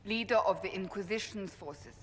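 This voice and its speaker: A woman's voice: medium pitch, moderate pace, close to an everyday voice. A stern, forthright female warrior officer from a video game, played by an actress, speaks in a formal, clipped manner. Her voice rings in a long hall with a faint bed of ambient noise. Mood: formal, firm. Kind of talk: video game cutscene acting.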